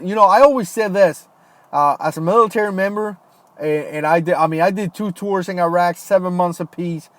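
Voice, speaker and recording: A man in his thirties talks loosely into a home mic, answering viewer comments on a livestream. His voice sits a bit high for a man. He peppers his talk with fillers and casual asides like "man" and "dude.". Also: no echo. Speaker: livestream host, retired Marine. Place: home, Florida.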